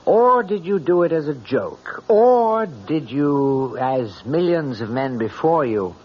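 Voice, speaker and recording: A husky, skeptical confidant. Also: male voice, on the high side, big pitch swings, slow pace, full character voice. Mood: gently challenging. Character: probing, skeptical male confidant, gently needling. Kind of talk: old-time radio drama acting. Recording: dull, muffled radio drama recording.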